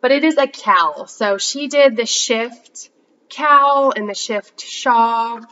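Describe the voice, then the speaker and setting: Congested, chatty female host, bright forceful tone, slightly dull lo-fi audio. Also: a little high, slow. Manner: chatty, rambling, many fillers. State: congested from a cold. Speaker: podcast host. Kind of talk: casual chat showing yarn haul.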